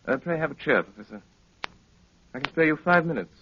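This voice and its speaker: An actor playing a cool, sardonic, commanding gentleman detective in a 1930s radio drama, speaking with clipped, dryly polite authority. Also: a little high, animated, brisk. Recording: muffled, noisy 1930s radio recording.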